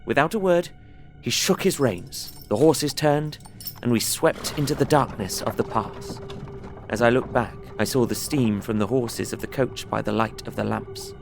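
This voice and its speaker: Actor as young guy narrating his gothic diary, bit of vocal fry, measured n uneasy, music underneath. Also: medium pitch, close to an everyday voice. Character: young English traveller, earnest, uneasy diarist. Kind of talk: dramatised first-person diary narration.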